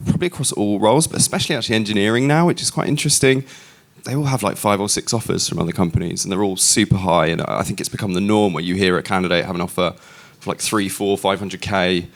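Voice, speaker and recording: A man's voice: low voice, fairly even intonation, quick pace. A man from London speaking as a panelist at a live event, wry and candid as he talks through his points.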